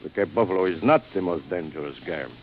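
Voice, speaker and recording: Suave aristocratic hunter, muffled old radio. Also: male voice, sits low, expressive, quick pace, breathy edge. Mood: proud, boastful. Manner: courtly, formal, theatrical, savouring his words. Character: suave, proud, aristocratic big-game hunter general, obsessed with hunting. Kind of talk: 1940s radio acting. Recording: muffled, noisy vintage radio recording.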